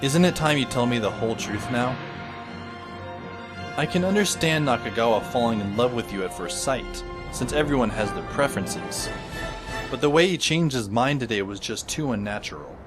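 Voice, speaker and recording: A man plays a sardonic schoolboy narrating his own story in an audio drama. His voice sits at a middle pitch, with a dry delivery and clear music behind it. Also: animated, normal speed, lightly acted. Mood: reflective. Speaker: narrator and lead character. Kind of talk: first-person narration in fan audio drama. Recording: music under the voice.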